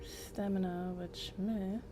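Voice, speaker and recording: A woman's voice: medium pitch, level tone, slow pace, noisy background. A woman giving casual live-stream game commentary, rambling as she thinks aloud, with wry asides.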